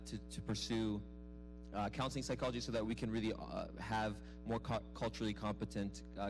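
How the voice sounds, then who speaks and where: A man's voice: fairly low pitch, rough recording. A young American man from Arizona speaking informally as a guest at a live event, self-deprecating, often repeating words as he goes. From Arizona, United States. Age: young adult. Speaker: guest speaker, mosque youth programs director. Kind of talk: informal personal storytelling at a public dialogue. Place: live event before an audience.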